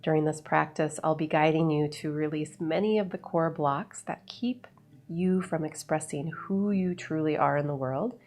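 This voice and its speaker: A woman leading a guided meditation in a soothing, unhurried way, with measured phrases and long pauses. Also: low voice, darkish tone. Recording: voice over background music. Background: background music.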